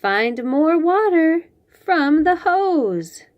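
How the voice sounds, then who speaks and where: A woman reading picture books aloud to young children, expressively, with drawn-out phrases and chatty asides. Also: very high-pitched, sing-song, lo-fi sound. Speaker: children's read-aloud host.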